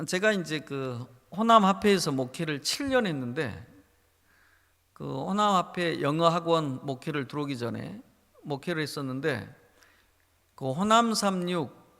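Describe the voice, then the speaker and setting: A Korean pastor with a Jeolla accent preaches an emphatic, storytelling sermon. His high voice swings up and down. A long church reverb trails behind him. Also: sharp tone. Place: church sanctuary.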